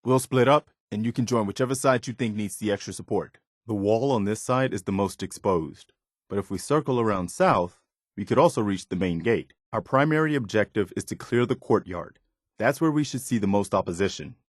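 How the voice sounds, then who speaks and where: An actor voicing an earnest, resolute militia leader who rallies settlers, in video game dialogue. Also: male voice, lowish voice, brisk, no room sound, clean studio sound, natural voice. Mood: businesslike.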